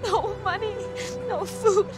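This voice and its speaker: A woman's voice: very high-pitched, steady tone, slow pace, music in the background. An actress voicing a young homeless woman in a video game, worn out and tearful. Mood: despairing. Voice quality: tearful, strained.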